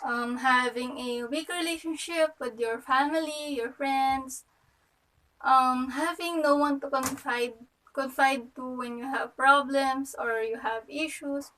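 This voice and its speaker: A young woman with a Filipino accent speaks in a very high voice at an unhurried, slow pace. She thinks aloud hesitantly as she explains herself. The microphone sounds some distance away. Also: steady tone, pushed and bright. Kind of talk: reflective explanation to camera.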